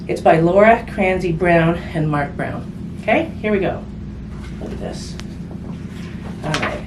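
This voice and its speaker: Lady doing kids storytime, lowish voice, chatty asides, noisy recording w/ echo. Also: animated, measured pace. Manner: conversational, simple phrasing aimed at children, with tag questions. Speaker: hospice volunteer reading a picture book to children. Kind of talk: read-aloud storytime with casual asides. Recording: roomy, distant mic with background noise.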